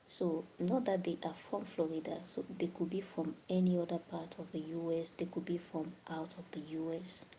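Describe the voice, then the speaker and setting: A woman's voice: lowish voice, gravelly, warm tone, slight background noise, low-quality recording. A woman from Cameroon chatting casually with viewers on a livestream, musing aloud and often dropping to a whisper. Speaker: livestream host.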